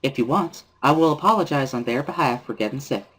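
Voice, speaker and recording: A man voicing a composed, polite teenage girl class representative, speaking in a measured, formal and subtly cutting way. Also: mid-range voice, lively intonation, fairly bright tone, breathy edge, rough recording. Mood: politely defensive.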